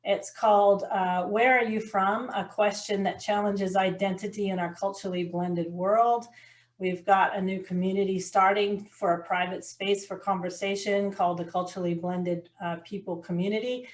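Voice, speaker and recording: A woman's voice: medium pitch, steady tone, normal speed. Earnest New woman, podcast guest. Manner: earnest, heartfelt, talks in restarts. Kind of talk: casual interview conversation.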